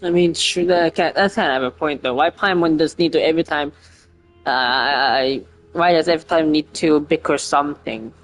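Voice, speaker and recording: Man, gaming streamer, casual commentary, halting asides, faint music behind. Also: fairly high pitch.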